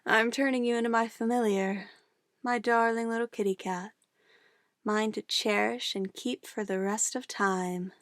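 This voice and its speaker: A woman's voice: on the high side, steady tone, unhurried, no room sound, studio quality, natural voice. A woman playing an obsessive, possessive witch in an ASMR roleplay, sweetly menacing and cooing in an intimate, theatrical way. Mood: possessive, tender. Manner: intimate, cooing, theatrical, shifting between soft whispers and firm commands.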